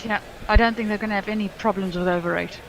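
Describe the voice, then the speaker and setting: A woman from South Africa chatting as a cricket co-commentator, relaxed and conversational. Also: mid-range voice, quick pace, slight background noise, low-quality recording. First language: English; Afrikaans is her second language. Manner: chatty, easygoing analysis. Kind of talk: live sports commentary chat.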